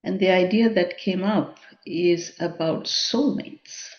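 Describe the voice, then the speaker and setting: A woman guides a spiritual meditation at an unhurried pace. Her voice is mellow and relaxed, with a contemplative delivery and long pauses between short phrases. The recording sounds somewhat dull and lo-fi. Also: lowish voice.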